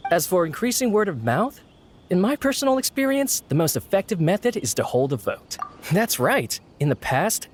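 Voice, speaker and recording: Courteous game organiser, highish male voice, lively intonation, formal, earnest, explanatory. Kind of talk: animated game voice acting.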